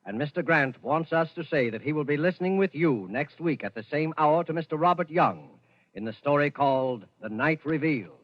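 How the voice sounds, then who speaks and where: A male programme announcer presenting in a formal, measured and dramatic 1940s radio style. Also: fairly high pitch, expressive. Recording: dull vintage radio recording with music.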